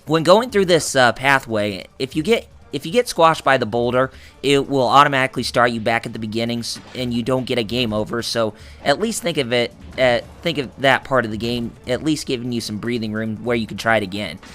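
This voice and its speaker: Male let's-play host, hoarse and raspy, chatty and rambling; bright tone. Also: medium pitch, brisk, hardly any pauses, soft background music. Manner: rambling, full of fillers and restarts, jokey. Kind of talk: gameplay commentary.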